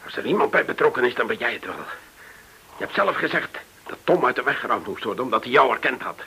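Sharp, menacing radio-play interrogator. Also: male voice, medium pitch, expressive, fast talker. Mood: angry, accusing. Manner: commanding, sardonic, pressing. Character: tough, menacing male interrogator with dry mockery. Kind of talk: radio drama acting. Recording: hissy old radio play recording.

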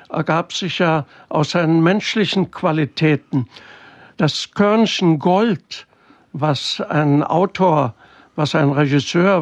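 Slow, higher-voiced man in dull dry recording. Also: no room sound. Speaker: documentary interviewee. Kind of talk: reflective recollection.

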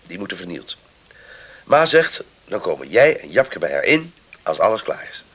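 Brisk young farmer, swooping intonation. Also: male voice, sits low, very animated, a bit bright, husky, exaggerated voice. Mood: practical, earnest. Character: brisk, practical young farm man, bossy but caring. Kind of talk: Dutch radio drama acting.

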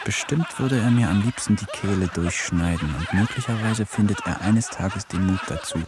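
A man's voice: lowish voice, moderate pace, noisy background, natural voice. A man playing a sardonic, lonely village eccentric. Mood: brooding. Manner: dry diary narration, mocking asides. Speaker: narrator and lead. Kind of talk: German radio play.

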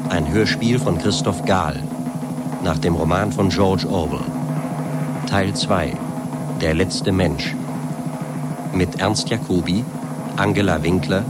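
A man formally announcing the title and credits of a radio play, as a scripted read. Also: sits low.

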